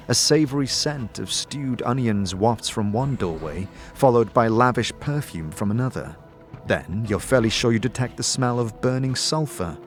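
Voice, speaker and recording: A man giving dramatic, descriptive second-person narration for a fantasy audio drama, deliberate and theatrical. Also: mid-range voice. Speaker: narrator and game-story performer. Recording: faint music behind the voice. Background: faint music.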